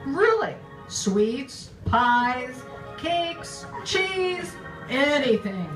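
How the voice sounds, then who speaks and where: A woman's voice: sits high, sing-song, exaggerated voice. A woman playing a prim, smug housewife in a vintage radio advert parody, speaking coyly and genteelly. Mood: sweet, smug. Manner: coy, genteel, deliberate. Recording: distant echoey stage, music behind.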